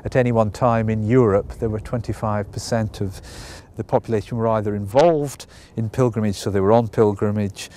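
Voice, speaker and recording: A man's voice: fairly low pitch, steady tone, brisk, hardly any pauses, warm tone. A man explaining things in a conversational way, hedging with fillers as he goes. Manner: conversational, hedging with "you know" and "um". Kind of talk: explanatory talk.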